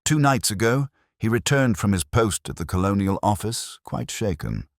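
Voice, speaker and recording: A man's voice: fairly low pitch, animated, moderate pace, no echo, clean studio sound, pitched above the performer's own voice. A man voicing an anxious, genteel young woman in an audiobook mystery, polite and hesitant in manner. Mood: uneasy, pleading. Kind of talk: dramatised detective audiobook.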